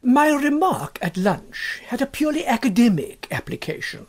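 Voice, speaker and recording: Man putting on a pompous, high-pitched husband. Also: sing-song, normal speed, heavily acted. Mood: stiff, announcing. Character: stiff, defensive English husband, bookish and formal.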